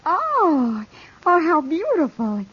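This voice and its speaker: A woman's voice: high voice, wildly swooping pitch, slow, heavily acted. An actress playing a sweet, polite young frontier girl in a 1950s Western radio drama, eager and hesitant. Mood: eager, shy. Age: young adult. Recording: noisy, dull 1950s radio recording.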